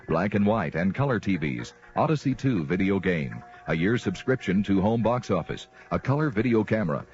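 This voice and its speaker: A man's voice: fairly low pitch, darkish tone. A man reading a scripted radio advertisement as a commercial announcer. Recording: dull old radio recording.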